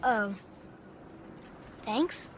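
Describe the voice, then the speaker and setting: A man's voice: very high-pitched, animated, drawn-out words, a bit bright, big-room sound, slight background noise, heavily acted. An actor playing an eager, curious young boy adventurer in a video game. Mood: amazed. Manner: wide-eyed, inquisitive, eager.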